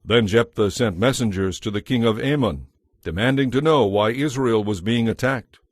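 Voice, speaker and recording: A man narrating a dramatized scripture audiobook from a script, in a measured, formal manner. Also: mid-range voice, no echo, clean studio sound.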